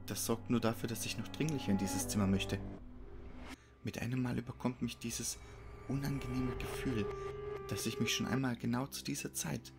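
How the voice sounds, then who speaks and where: A man's voice: mid-range voice, steady tone, normal speed. A man giving a scripted, dramatic narration of a horror story. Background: music behind the voice.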